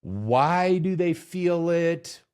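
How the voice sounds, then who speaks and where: A man's voice: on the high side, lively intonation, drawn-out words, a little mellow, clean tone. A man co-hosting a podcast in a studio, chatting casually and joking, often laughing. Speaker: podcast co-host. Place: recording studio.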